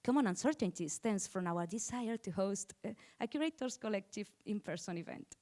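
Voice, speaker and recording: A woman hosts an event with a semi-formal welcome speech. Her voice sits at a middle pitch as she introduces the program and its speakers. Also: lively intonation, brisk, darkish tone.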